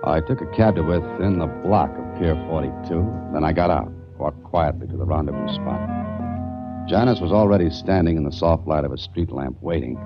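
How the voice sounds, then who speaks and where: A man's voice: very deep voice, fairly quick, loud music behind the voice. An actor playing a determined male detective who narrates his own case, in 1940s radio acting. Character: hard-boiled male detective, dry and determined. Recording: dull old radio recording.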